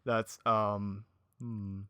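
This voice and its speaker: A man's voice: on the low side, little pitch movement, extremely slow, bright, forceful tone, no echo. A man chatting casually as a podcast guest, rambling, giggly and self-deprecating. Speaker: podcast guest, audio drama creator. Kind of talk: casual chat.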